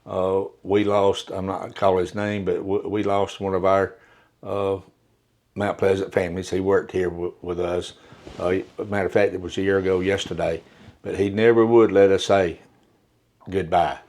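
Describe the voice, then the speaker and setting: An older man who works as an undertaker, from Appalachia, speaking in a soft, halting way with many restarts in a reflective interview. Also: low voice, little pitch movement.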